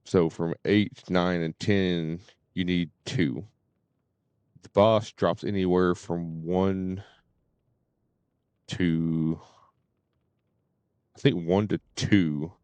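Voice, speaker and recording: A man giving casual live game commentary, thinking aloud in a halting way and often repeating his words. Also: low-pitched, fairly even intonation, darkish tone, clear, resonant tone, no room sound. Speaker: game livestreamer. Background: faint game music.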